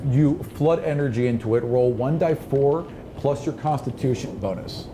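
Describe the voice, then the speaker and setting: A man's voice: mid-range voice, moderate pace. Guy running a tabletop rpg, describing stuff vividly, kinda noisy room. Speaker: game master. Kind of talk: narrating a tabletop roleplaying game off the cuff. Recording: noisy room ambience behind voice.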